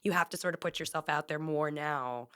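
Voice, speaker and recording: A woman's voice: low-pitched, fairly quick, fairly bright tone, clear, resonant tone. A woman hosting a casual podcast interview, trailing off and restarting her sentences. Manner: hesitant, restarts sentences, laughs lightly. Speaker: podcast host.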